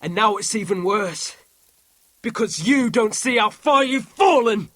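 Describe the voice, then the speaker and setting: A man's voice: high voice, expressive, measured pace, a bit bright, no echo, exaggerated voice. An actor playing an arrogant, cruel young lord in a video game drama, sneering and menacing as he taunts those beneath him. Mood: contemptuous.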